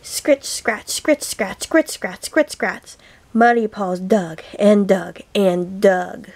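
A woman reading a children's picture book aloud as a teacher would, with deliberate, expressive phrasing for young children. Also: mid-range voice. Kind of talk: scripted storybook read-aloud.

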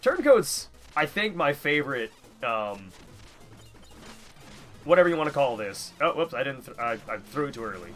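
A man's voice: mid-range voice, sing-song, normal speed, long pauses, fairly bright tone, faint music behind. Stuffy-nosed young man commenting on gameplay. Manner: self-deprecating, rambling, filler-heavy. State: stuffy nose. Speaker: gamer, let's player.

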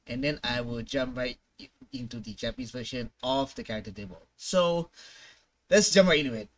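A young man hosting a casual gaming reaction video, commenting in an excitable, slangy way. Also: medium pitch, a little fast. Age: young adult. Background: game trailer music.

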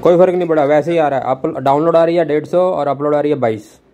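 A man's voice: fairly high pitch, fast talker, dark tone. A young man from India making an unscripted complaint call as a customer, pressing his point in a blunt, challenging, insistent way. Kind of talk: phone complaint, unscripted argument. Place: room at home.

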